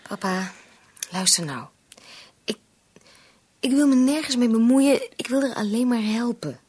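An actress playing a worried, insistent young bride-to-be pleading as a daughter in a radio play. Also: female voice, on the high side, lively intonation, a little slow, darkish tone, breathy edge. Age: young adult.

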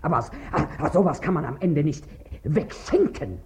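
Low, swooping, shrewd washerwoman. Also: expressive, moderate pace, a little mellow, a little hiss or hum. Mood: stubborn haggling. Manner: earthy, brusque, cunning. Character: shrewd, bossy working-class washerwoman and mother, haggling hard. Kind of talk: 1950s German radio drama acting. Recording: dull 1950s radio recording.

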